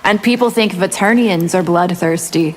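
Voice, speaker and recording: A woman's voice: fairly low pitch, normal speed, lightly acted. A woman voicing a cold, scheming winged vampire warrior who taunts her foes with disdain in a fighting video game. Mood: cold taunting. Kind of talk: video game character dialogue. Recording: long reverb, loud music behind.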